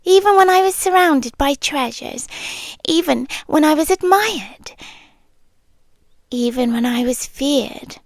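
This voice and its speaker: High, whispery British monster girl, acted by a woman. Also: expressive, no echo, clean studio sound, full character voice. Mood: wistful, lonely. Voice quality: breathy, often dropping into whisper. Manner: hushed, deliberate, wistful storytelling with pauses. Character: ancient, lonely monster girl, tenderly obsessive yandere lover. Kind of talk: slow intimate ASMR roleplay. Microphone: close, dry microphone.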